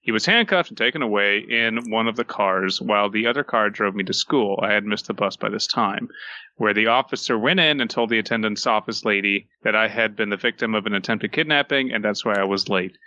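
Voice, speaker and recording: Male podcast co-host, forceful bright tone, sardonic casual delivery, very dry recording. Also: fairly low pitch, a little fast, dry recording, lo-fi sound. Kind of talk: casual group banter and reading stories aloud.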